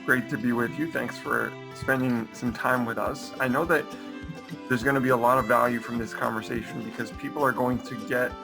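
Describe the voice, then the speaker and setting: A man's voice: mid-range voice, steady tone, brisk, creaky, over music, rough recording. A young man hosting an interview in an easygoing way, often saying "you know". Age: young adult. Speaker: interview host. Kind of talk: casual interview talk.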